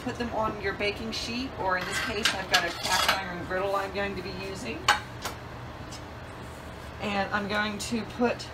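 Middle-aged woman walking through a recipe at home, mid pitch, lots of kitchen noise behind her. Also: steady tone, moderate pace. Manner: plain step-by-step instructions with fillers. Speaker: cooking channel host. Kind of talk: home cooking demonstration.

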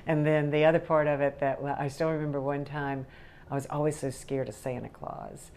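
A woman's voice: low-pitched, fairly even intonation, brisk, a little mellow, slight background noise. A woman in her sixties with a faint Southern accent, recalling childhood memories in a rambling, chatty way at her kitchen table. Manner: rambling, chatty, laughs often. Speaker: interviewee. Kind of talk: casual recollection. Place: home kitchen, indoors.

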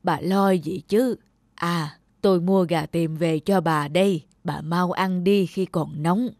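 A woman voicing an elderly grandfather who gently brushes off his wife's worry in a cartoon. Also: lowish voice, steady tone, slow, no room sound. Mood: reassuring. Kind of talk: cartoon voice acting. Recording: dry close studio sound.

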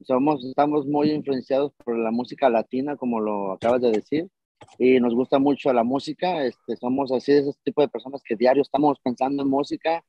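A man's voice: medium pitch, fairly even intonation, fairly quick, no room sound, highs cut off. A man, a band vocalist, answering interview questions casually over a video call, rambling with fillers.

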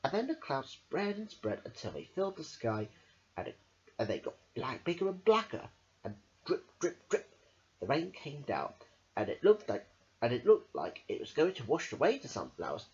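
A storyteller reads a picture book aloud for children. The delivery is dramatic and swings widely in pitch, with the odd stumble. The recording is dull and lo-fi, like a handheld camera microphone. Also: wildly swooping pitch, moderate pace. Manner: dramatic, stumbles over words now and then. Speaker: storybook reader.

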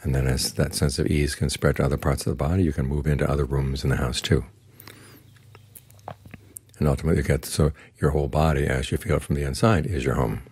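An older man, a Buddhist monk, giving a slow, calm, plainspoken guided meditation talk. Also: a bass voice, breathy edge, no echo. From United States.

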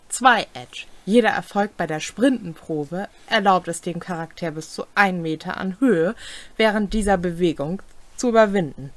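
A woman's voice: mid-range voice, no room sound. A young adult woman reads game rules aloud as a co-host, with brief comments of her own. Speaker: co-host of a tabletop role-playing rules series. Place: small furnished room at home, indoors.

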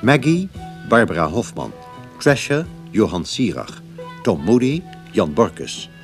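A man's voice: fairly low pitch, very animated, measured pace. A man announcing radio drama credits in a scripted, formal read. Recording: faint guitar music behind.

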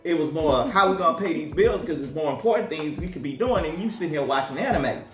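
A man in his thirties co-hosting a couple's vlog, joking and telling stories in relaxed, colloquial speech at a desk microphone in a small room at home. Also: fairly high pitch, fast talker, soft background music. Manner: laid-back, joking, colloquial grammar. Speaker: co-host of a married couple's vlog. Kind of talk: casual chat and storytelling. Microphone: desk condenser mic, wearing headphones. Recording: echoey room.

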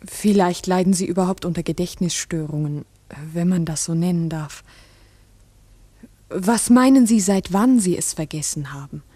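Mellow, husky, inquisitive schoolteacher played by a woman. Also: fairly low pitch, moderate pace, no room sound, lightly acted. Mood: probing. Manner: probing, careful, polite questioning. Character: earnest, inquisitive female village schoolteacher. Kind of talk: 1960s German radio drama acting.

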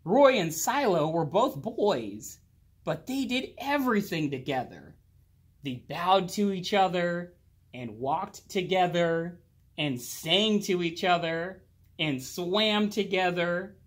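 A man reading a children's picture book aloud expressively, drawing out words and pausing. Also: high-pitched. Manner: slow, animated, with drawn-out words and pauses. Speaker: children's storybook reader. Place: indoors, library with bookshelves.

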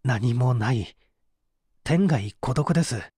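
Radio drama murder suspect , played by a man: embittered male painter, thirties, self-justifying, widely varied pitch, dry close recording. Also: animated, takes it slowly, warm tone, breathy edge, dry recording. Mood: bitter, plaintive. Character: bitter, defensive orphaned male painter in his thirties, suspected. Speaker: voice actor reading a radio drama. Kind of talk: dramatized mystery reading.

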